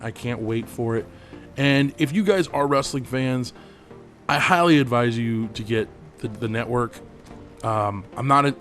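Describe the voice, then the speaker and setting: Tired dude with a fading voice, rambling gamer chat, mid pitch, faint game music behind. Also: male voice. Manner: rambling, laid-back, swears freely. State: tired, losing his voice. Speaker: gaming channel host. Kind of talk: casual commentary over gameplay.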